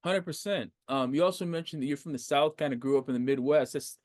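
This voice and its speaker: A man in his fifties from the United States, hosting a faith podcast and talking in an animated, conversational way with plenty of fillers and restarts. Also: fairly high pitch, fast, no echo, clean studio sound. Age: 50s. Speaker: podcast host. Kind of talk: casual interview.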